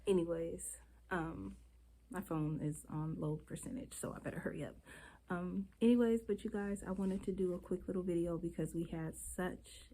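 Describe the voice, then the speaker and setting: A woman with a slightly low voice talks to her viewers in a loose, rambling vlog, her nose stuffed up from a cold. She is candid and chatty and drifts from one thought to the next, recorded on a phone camera. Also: steady tone, moderate pace, mellow, poor audio. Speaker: beauty vlogger.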